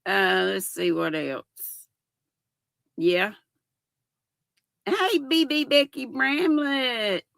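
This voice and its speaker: A woman's voice: fairly high pitch, very animated, extremely slow, vocal fry, pauses often, a bit bright, no echo, clean studio sound. An older woman hosting a casual livestream, chatting folksily and giggling as she reads viewer comments aloud. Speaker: livestream host.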